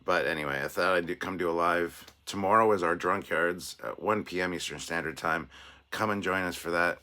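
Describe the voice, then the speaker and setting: A man with an extremely low voice hosts a relaxed livestream, his throat raw and hoarse. He rambles along in a chatty, self-deprecating way, reading viewer messages aloud. His tone carries a forceful, bright edge despite the soreness. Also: brisk. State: sore throat, voice hoarse and cracking. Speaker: livestream host. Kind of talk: casual livestream chat, reading out viewer comments.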